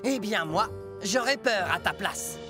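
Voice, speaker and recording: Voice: mid-range voice, animated, measured pace, over music, lightly acted. Cartoon male engine, voiced by an actor, teasing theatrical storyteller. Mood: spooky teasing. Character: teasing, mischievous male train engine, show-off. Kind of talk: children's cartoon dub acting.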